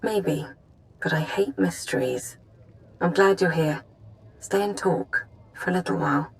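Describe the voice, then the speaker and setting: A brilliant, prickly game character recovering from captivity. She has a slightly low voice and a wry, precise way of talking. Her phrasing is clipped. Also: animated, a little slow, low-quality recording. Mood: wistful. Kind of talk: cinematic video game dialogue.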